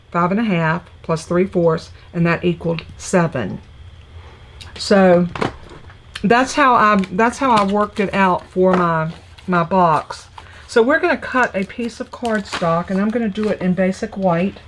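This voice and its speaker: A woman with a scratchy, congested voice, chattily explaining a papercraft project step by step as she works. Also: fairly low pitch. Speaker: papercraft demonstrator. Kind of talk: live crafting tutorial.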